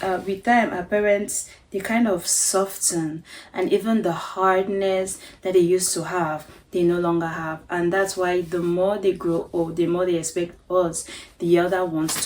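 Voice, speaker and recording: A woman's voice: on the low side, darkish tone, slight background noise. A woman in her thirties from Cameroon, earnestly talking to camera in a home vlog and often ending with tag questions. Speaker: vlogger. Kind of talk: reflective talk to camera. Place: home bedroom.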